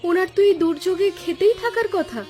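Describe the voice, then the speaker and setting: A woman voicing a caring young village wife in a cartoon story, speaking in an earnest, deliberate way. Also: very high-pitched, mellow, soft background music. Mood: concerned. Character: caring young village wife and daughter, anxious but cheerful. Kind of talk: Bengali cartoon story dialogue.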